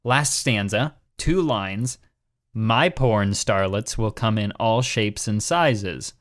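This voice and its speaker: A man's voice: on the low side, takes it slowly, a bit bright, no echo, studio quality. A man co-hosting a podcast, doing mocking dramatic readings and casual commentary in a sarcastic, exasperated way. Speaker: podcast co-host.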